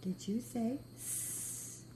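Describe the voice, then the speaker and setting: A woman's voice: drawn-out words, background noise. A woman teaching a step-by-step phonics lesson to beginning readers, giving patient, simple instructions with repeated praise. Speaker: reading teacher.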